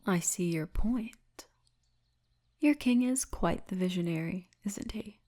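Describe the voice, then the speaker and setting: Woman acting as towering female orc officer, ASMR roleplay, unhurried, soft dark tone, confident, teasing. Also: mid-range voice. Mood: proud, inviting. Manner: teasing, confident, formal turns of phrase, often breathy murmur.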